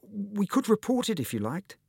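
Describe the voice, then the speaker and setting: A man's voice: sits high, very animated, normal speed, creaky, husky, no echo, studio quality, pitched above the performer's own voice, heavily acted. A man voicing a small, timid, helpful schoolboy with an eager, stammering manner.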